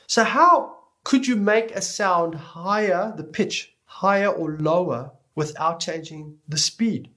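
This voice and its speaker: A man giving an explanatory tutorial lecture as an instructor, speaking deliberately and stressing key words as he goes. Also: fairly high pitch, a bit bright. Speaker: music production instructor.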